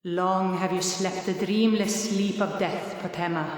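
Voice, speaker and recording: An actress playing a solemn necromancer in a fantasy game, intoning a summoning ritual like an incantation in a cavernous space. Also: female voice, lowish voice, steady tone, unhurried, natural voice. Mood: solemn, commanding. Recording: cavernous echo with long reverb.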